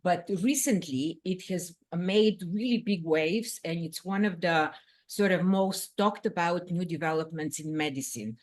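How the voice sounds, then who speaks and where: A woman from Bulgaria answering interview questions as a scientist, talking in a lively way with frequent "um" fillers. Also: on the low side, unhurried. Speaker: guest scientist.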